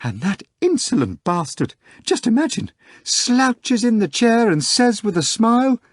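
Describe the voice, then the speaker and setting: A woman's voice: sing-song, takes it slowly, a little mellow, slightly rough, dry recording, studio quality, heavily acted. A man voicing a hysterical young female secretary who wails through her tears in a slightly nasal, breathless way. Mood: agitated. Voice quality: a little nasal from crying. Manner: shrill, frantic, breathless wailing. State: crying. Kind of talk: audiobook character voice.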